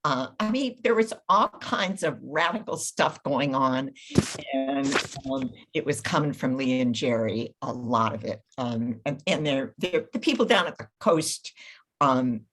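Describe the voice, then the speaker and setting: A woman panelist recollecting informally and haltingly, trailing off as she searches her memory. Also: rumbling low, animated, a bit bright, slight background noise.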